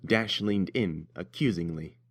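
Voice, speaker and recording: A man's voice: low-pitched, a little slow, fairly bright tone. A man reading scripted story narration as the narrator.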